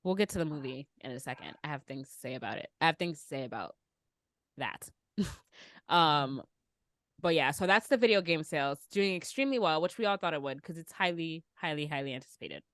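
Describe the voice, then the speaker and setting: Woman podcast host, lowish voice, very lively intonation, dry close sound, casual chat. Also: fairly quick, dry recording. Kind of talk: casual podcast chat about pop culture.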